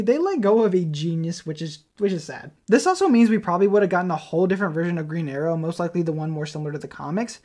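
Man, quick pace, informal fan commentary, video host. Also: high-pitched.

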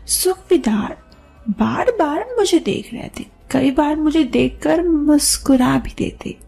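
A woman's voice: sits high, gravelly, dark tone. A woman narrating a scripted story in the first person, as if recounting her own experience.

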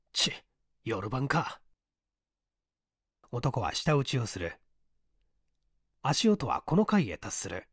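A man reading mystery fiction as an audiobook narrator, deliberate and theatrical, with pauses. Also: fairly high pitch, a little mellow, no room sound, studio quality.

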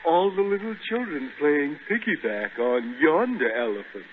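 A man's voice: on the high side, expressive, normal speed, lightly acted. A man playing a pompous, food-craving cartoon moocher in 1930s radio style, florid and theatrical. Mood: grandly pleased. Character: pompous, pleading, hamburger-craving cartoon glutton. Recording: tinny, hissy 1930s radio recording.

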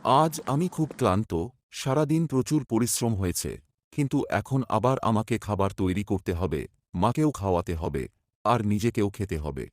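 Low-voiced man as a worried poor village son. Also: lively intonation, moderate pace, a little mellow, dry recording, close to an everyday voice. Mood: sorrowful. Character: worried poor young village son narrating his hardship. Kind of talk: first-person scripted horror cartoon narration.

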